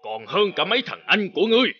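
A man's voice: sits high, sing-song, fast talker, husky, no room sound, lo-fi sound, heavily acted. A man voicing a huge, menacing and boastful forest giant in a fairy-tale cartoon, scornful and threatening. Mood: mocking. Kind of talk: animated fairy-tale acting.

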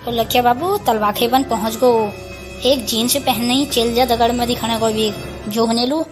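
A woman's voice: on the high side, fairly even intonation, moderate pace, music in the background, natural voice. A woman voicing an exasperated, scolding village mother with a Kumaoni accent in an amateur cartoon comedy. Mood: curious.

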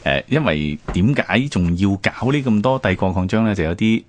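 Male radio presenter, Hong Kong Cantonese accent. Low register, lively inflection, conversational explainer of history. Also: moderate pace, a little hiss or hum. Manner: explanatory, with rhetorical questions and frequent sentence particles. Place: radio studio.

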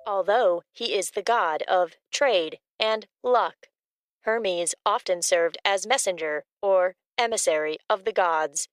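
A woman's voice: medium pitch, slow pace, dry recording, clean studio sound. A woman reading scripted factual asides as co-narrator of a story show.

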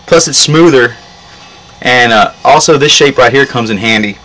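A man's voice: medium pitch, normal speed, pushed and bright. A young man giving an informal, step-by-step how-to narration outdoors, recorded on a camera mic. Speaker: hobby metalworker demonstrating. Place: outdoor work yard. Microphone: camera mic a short distance away. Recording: noisy outdoor camcorder audio.

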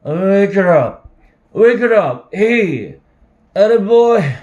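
A man voicing a drunk teenage boy in a story, yelling, repeating himself and pleading. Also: on the high side, very animated, slow, lo-fi sound, voice pushed up. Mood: insistent, drunk.

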